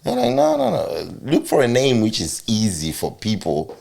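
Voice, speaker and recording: Gravelly Zimbabwean man hosting, animated delivery. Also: on the low side, normal speed. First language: Shona. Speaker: talk show host. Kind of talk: casual interview chat.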